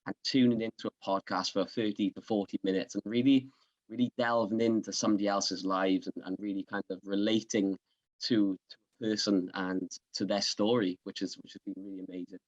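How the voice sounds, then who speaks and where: A man's voice: lowish voice, steady tone, fairly quick, dull audio. A man with a Swansea Welsh accent, the podcast host, talking in an upbeat, chatty way during an interview. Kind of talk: conversational interview.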